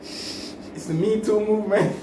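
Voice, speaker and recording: A man co-hosting a podcast, giving rambling, laughing advice in casual chat, with many restarts. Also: high-pitched. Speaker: podcast co-host. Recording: roomy, distant mic with noise.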